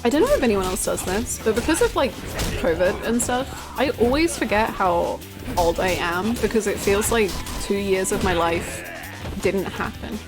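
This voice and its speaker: A woman in her twenties chatting casually as she livestreams a video game, with an expressive, giggly, exclamatory way of talking. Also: medium pitch, normal speed. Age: 20s. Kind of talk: casual livestream chat over gameplay, reading out comments. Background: video game music.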